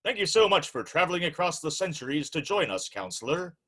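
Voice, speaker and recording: A man's voice: on the high side, sing-song, brisk, bright, forceful tone, full character voice. A man playing a formal, courteous, easily flustered host of an old-time radio show, with theatrical delivery. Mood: formal, welcoming.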